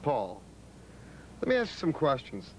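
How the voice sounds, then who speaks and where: A man interviewing people, putting his questions in an informal, halting way. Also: medium pitch, huge pitch swings, background noise.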